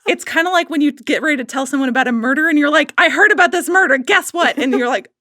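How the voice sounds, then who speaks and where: A woman's voice: high voice, fast, bright, forceful tone, dry recording, studio quality. A woman guest on a podcast, telling anecdotes casually in an emphatic, self-deprecating way. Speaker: podcast guest, writer and director.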